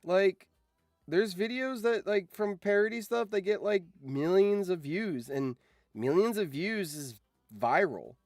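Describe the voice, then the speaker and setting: A man's voice: high-pitched, animated, unhurried, darkish tone, no echo, clean studio sound, faint music behind. A man chatting casually on a livestream as a video editor, rambling along and swearing freely.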